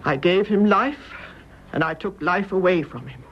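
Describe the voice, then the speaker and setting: An actress playing a composed, stoic older mother in a radio drama, speaking in a measured, tender way that drops into hushed tones. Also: fairly low pitch, lively intonation, close to an everyday voice. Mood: grave, sorrowful. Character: composed, stoic elderly mother confessing to mercy killing. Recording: muffled, hissy old radio drama recording.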